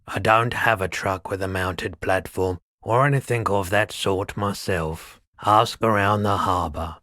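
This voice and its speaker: An actor playing a laid-back, philosophical pawnbroker in a video game, speaking in a measured, deadpan, slightly mystical way. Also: male voice, low voice, dry recording, clean studio sound. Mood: calm, businesslike. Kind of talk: scripted game dialogue.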